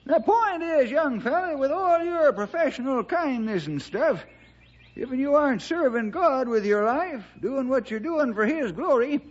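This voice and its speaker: A feisty old-timer in a vintage radio play. His voice is very high and strained, with lively swoops and rustic, excitable delivery. The recording sounds dull and narrow. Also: measured pace. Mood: indignant. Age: older. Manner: excitable, folksy, rustic grammar. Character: Stumpy, feisty, folksy, curious old-timer ranger sidekick. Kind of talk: old radio drama character acting.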